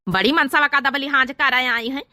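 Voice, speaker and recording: A woman's voice: high-pitched, normal speed, very noisy, close to an everyday voice. A woman voicing an exasperated village husband in a comedy cartoon, scolding and grumbling in an Awadhi accent. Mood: indignant. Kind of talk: exaggerated cartoon comedy dialogue.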